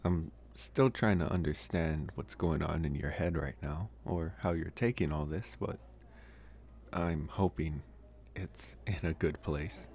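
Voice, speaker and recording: Man as a low-voiced, hesitant vampire boyfriend. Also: measured pace, a little mellow. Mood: tender, hesitant. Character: young male college vampire boyfriend, teasing, awkward, affectionate. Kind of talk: ASMR boyfriend roleplay, addressed to the listener.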